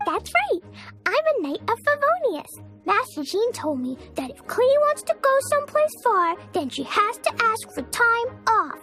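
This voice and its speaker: Earnest, very high-voiced little girl. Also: sing-song, a little slow, bright, forceful tone, faint music behind, exaggerated voice. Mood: matter-of-fact. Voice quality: small, childish, sweet. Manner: earnest, simple, refers to self in third person. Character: little girl knight, earnest, innocent, determined. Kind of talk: video game character acting.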